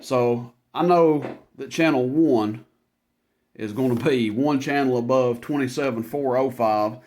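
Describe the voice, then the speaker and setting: A man's voice: mid-range voice, measured pace, a little mellow. A man giving a casual product demonstration as a seller, talking informally and rambling through each step as he goes.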